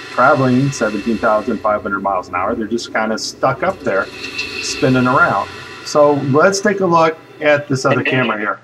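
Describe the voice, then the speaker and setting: A man giving casual, speculative commentary over footage in a conversational way, with a slightly husky voice. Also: medium pitch, recorded from a distance, noisy background. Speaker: video narrator.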